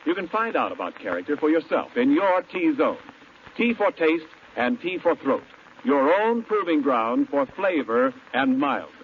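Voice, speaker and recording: A male radio announcer reading a 1940s sponsor pitch in an emphatic, salesmanlike way. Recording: noisy, muffled 1940s radio broadcast.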